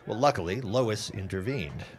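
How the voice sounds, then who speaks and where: An actor playing a genial, chatty gentleman guest in a period audio drama, wordy and polite. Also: on the low side, animated, normal speed. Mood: amused.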